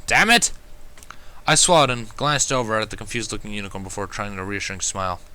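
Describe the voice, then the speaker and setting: A man's voice: on the low side, racing, sharp tone, clear, resonant tone. A man narrating a first-person fan fiction story in a wry, dramatic manner.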